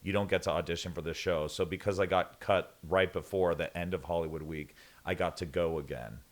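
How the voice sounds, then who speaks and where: A man's voice: sits low, steady tone, a little fast. A man chatting casually as a guest singer, telling rambling stories that he keeps interrupting himself. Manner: rambling, self-interrupting storyteller.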